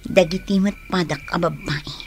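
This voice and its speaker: An actress playing a loyal, resolute big-sister rebel accomplice in a radio drama. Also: female voice, lowish voice, fairly even intonation, normal speed, darkish tone, soft background music, lightly acted. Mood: hushed, resolute. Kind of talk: Ilocano radio drama acting.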